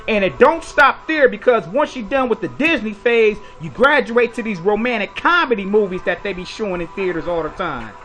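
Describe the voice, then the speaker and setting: Man, opinionated commentary, rhetorical questions, soft music underneath. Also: high-pitched, lively intonation, moderate pace, hardly any pauses. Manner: preachy, blunt, lecturing with rhetorical questions. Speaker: commentary video host.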